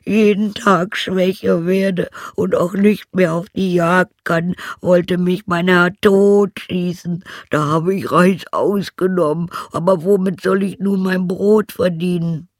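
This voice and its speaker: A storyteller voicing a weary, aggrieved old male hunting dog with a plaintive, drawn-out delivery. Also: medium pitch, steady tone, dry recording, clean studio sound, voice pushed up, close to an everyday voice.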